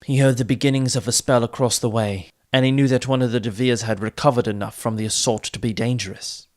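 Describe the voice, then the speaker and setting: A man's voice: mid-range voice, steady tone, quick pace, darkish tone. A man reading a fantasy audiobook as narrator, in a dramatic, theatrical storytelling style.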